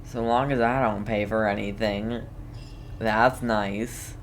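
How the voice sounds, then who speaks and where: A woman's voice: rumbling low, steady tone, slow pace, slight background noise. A woman gaming streamer giving laid-back, casual playthrough commentary in brief reactions.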